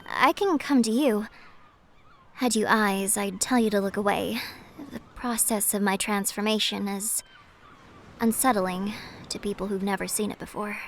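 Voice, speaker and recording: Woman acting a soft, mysterious siren over ambient noise. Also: medium pitch, lightly acted. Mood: guarded, persuasive. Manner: soft, measured, often dropping into breathy whispers. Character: mysterious gentle adult female siren, guarded and wistful. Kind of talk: cinematic audio roleplay acting.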